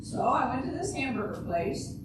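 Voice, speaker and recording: A woman's voice: high-pitched, sing-song, moderate pace. An older woman in an amateur readers' theater playing a naive, blunt, literal-minded housewife and mother. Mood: earnest recounting. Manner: literal-minded, plain, ungrammatical phrasing. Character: naive, blunt housewife and mother, matter-of-fact about shooting husband. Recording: distant, very echoey, noisy room.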